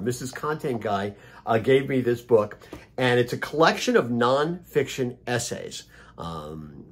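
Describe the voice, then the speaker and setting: A man's voice: mid-range voice, husky. A middle-aged or older man hosting a casual video blog, talking conversationally and stressing words by repeating them. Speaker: host of a daily video blog. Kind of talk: casual, conversational book recommendation monologue.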